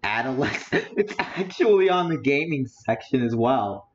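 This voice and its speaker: A man's voice: mid-range voice, expressive, normal speed. A teenage boy streaming, muttering to himself as he browses and reads titles aloud. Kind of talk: casual live-stream commentary. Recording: dull lo-fi audio with faint noise.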